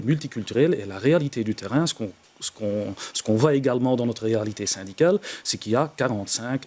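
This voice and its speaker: Measured union man in a TV debate. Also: medium pitch, darkish tone. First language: Dutch. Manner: measured, restarts and hedges often. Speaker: trade union representative. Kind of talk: televised debate.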